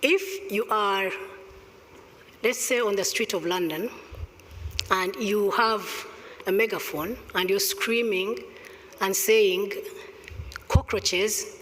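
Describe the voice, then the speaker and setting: Panelist from Rwanda, emphatic, deliberate, repeats for emphasis, middle pitch, long hall reverb. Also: pushed and bright. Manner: emphatic, deliberate, stresses points with repetition. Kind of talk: panel discussion answer.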